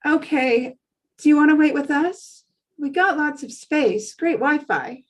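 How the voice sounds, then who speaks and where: Over-accommodating, chirpy woman acted by a female reader; high voice, anxious to please, table-read drama. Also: measured pace. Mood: eagerly welcoming.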